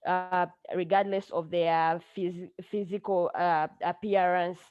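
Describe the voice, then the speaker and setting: A woman from Zambia speaking earnestly, in a halting way with many fillers, over a video call. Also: on the low side, a little mellow, no echo. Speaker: judo association mentee presenting.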